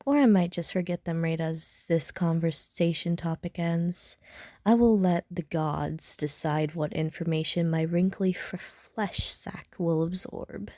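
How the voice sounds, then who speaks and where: A woman's voice: fairly low pitch, fairly even intonation, unhurried, mellow, no room sound, natural voice. A woman playing a casual, slightly prickly young gamer in an audio roleplay, talking hesitantly and with dry self-mockery to the listener. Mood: relaxed, chatty.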